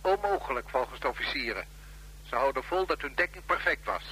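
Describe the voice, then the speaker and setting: Obedient soldier reporting to his boss, sci-fi radio drama, really sharp tense voice, bit of hiss. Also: mid-range voice, animated, a little fast, poor audio. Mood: dutiful. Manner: clipped, respectful, dutiful. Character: deferential military subordinate reporting to his sovereign. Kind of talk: science fiction radio drama acting.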